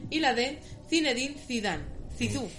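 A woman's voice: high-pitched, lively intonation, measured pace, a bit bright, soft background music. A woman hosting a playful mock quiz show, reading out questions and teasing her contestant. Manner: teasing, laughs often. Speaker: quiz-show style host.

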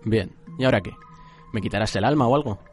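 A man's voice: on the low side, quick pace, faint music behind, natural voice. A man playing a cold, arrogant young man who sees himself as a god of justice, solemn and grandiose, in an anime radio drama. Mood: cool, curious.

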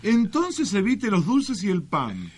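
Man putting on a fussy, high-pitched Argentine horoscope doctor. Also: huge pitch swings, slow, darkish tone, slightly rough, full character voice. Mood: solicitous, teasing. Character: fussy, coy male doctor reading horoscopes. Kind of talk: comic radio sketch.

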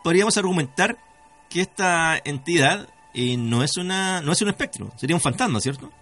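A man hosts a radio show in a bright, fairly high voice. He talks conversationally, repeating words and tagging on little questions, with music behind him. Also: lively intonation. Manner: halting, repeats words, seeks confirmation with tag questions.

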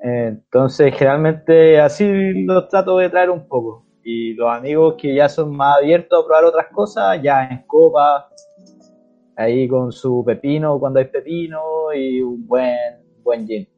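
A man talks casually as a podcast panelist. His voice is a bit high for a man. It comes through thin and phone-like, with music in the background. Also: a little slow. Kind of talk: casual chat.

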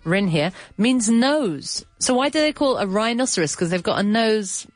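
A woman's voice: mid-range voice, expressive, moderate pace, dry recording, faint music behind. A woman hosting a casual bilingual chat, explaining English words, chatty and laughing, with a runny nose. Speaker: podcast host teaching English.

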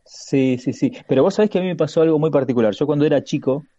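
A man in his forties, born in Chile with an Argentine Spanish accent, chatting as a podcast guest with many pauses and restarts. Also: on the high side, warm tone, no echo, lo-fi sound. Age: 40s. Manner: hesitant, halting with frequent restarts. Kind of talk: casual conversation.

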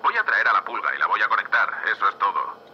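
A man playing a gruff, seasoned spaceship captain in an audio drama, curt, practical and dryly sardonic. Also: fairly low pitch, expressive, quick pace, natural voice. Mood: brisk, matter-of-fact. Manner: curt, commanding, dry. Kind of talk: audio fiction acting. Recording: dull, muffled band, light noise.

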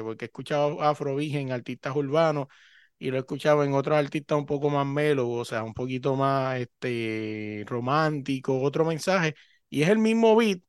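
Chatty Puerto Rican-born podcast host. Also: male voice, mid-range voice, smooth, dry recording, clean studio sound. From Puerto Rico. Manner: chatty, loaded with filler "o sea". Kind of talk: conversational interview. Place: Virginia.

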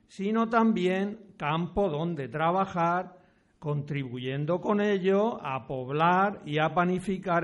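Man playing a slow-speaking, high-voiced village priest. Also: a bit bright. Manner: deliberate, formal, old-fashioned speech. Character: courteous, authoritative village parish priest of the 1700s. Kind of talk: amateur historical radio drama acting.

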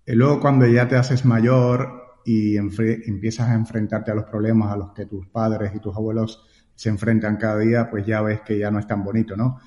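A man's voice: on the low side, little pitch movement, moderate pace, warm tone. Cuban-accented man in an echoey room. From Cuba. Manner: repeats words while thinking, frequent "eh" fillers. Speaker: guest. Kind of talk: relaxed interview answers. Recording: roomy echo, long reverb, dull lo-fi sound.

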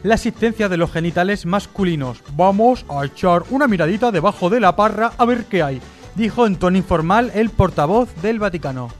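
High-pitched man reading mock radio news. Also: lively intonation, fairly quick, faint music behind. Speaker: radio comedy show co-host.

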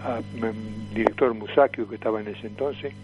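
An elderly man with a Rioplatense Argentine accent reminisces as a radio guest, rambling and halting with frequent fillers. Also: on the low side, steady tone, dull audio, music in the background. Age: 70s or older. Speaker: guest, veteran cartoonist, impressionist and tango singer.

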